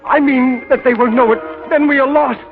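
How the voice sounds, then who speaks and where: A nervous, very high-voiced thief in an old radio play. He pleads and frets, and his voice comes through thin and tinny, like a phone-line broadcast. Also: steady tone, a little fast, over music. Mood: alarmed. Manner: anxious, pleading, alarmed. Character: fearful thief among the beggars, male adult. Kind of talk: 1930s radio drama acting.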